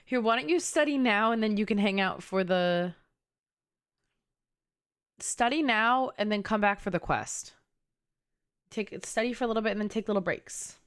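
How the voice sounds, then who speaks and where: A woman's voice: medium pitch, normal speed, long pauses, clear, resonant tone, no echo, studio quality. A young adult woman chatting casually with her viewers while livestreaming a game, bantering and teasing. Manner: bantering, teasing, talks to chat. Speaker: game streamer. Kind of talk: casual livestream chat and gameplay commentary.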